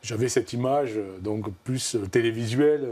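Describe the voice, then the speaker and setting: A man's voice: mid-range voice, normal speed, a little mellow. A man in his fifties with a southwestern French accent, a guest in a TV studio interview, talking thoughtfully with lots of fillers. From southern France. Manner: thoughtful, gestures while talking, many fillers. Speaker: comic artist guest. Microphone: lapel.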